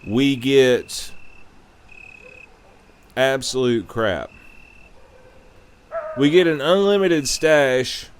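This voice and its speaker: A man's voice: medium pitch, drawn-out words, long pauses, slight background noise. A man in his thirties hosting a show, giving a blunt, profane, casual rant. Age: 30s. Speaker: podcast host. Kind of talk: casual opinionated gaming rant.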